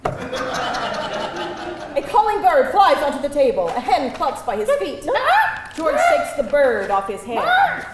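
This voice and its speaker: A woman reading stage directions aloud as narrator at a live table read, expressive and theatrical, in a roomy venue. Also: sits high, takes it slowly, pauses often. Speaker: screenplay narrator. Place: live event venue with audience. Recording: roomy live venue, faint background noise.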